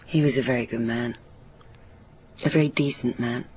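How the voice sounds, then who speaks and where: A woman's voice: very deep voice, fairly even intonation, normal speed, a little mellow, husky. A British woman playing a troubled, hesitant daughter of a railway signalman in a naturalistic radio drama. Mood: reflective. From Britain. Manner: hesitant, self-correcting, reflective. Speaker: actor in a radio play.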